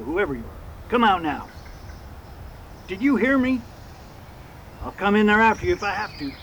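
A man's voice: high-pitched, a bit bright, a little hiss or hum. An actor playing an earnest deputy lawman in a western radio drama.

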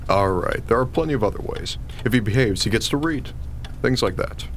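A man's voice: mid-range voice, expressive, brisk, slight background noise, natural voice. A man playing a nervous expectant husband in a radio play, trying to sound confident. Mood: reassuring. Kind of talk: radio drama acting.

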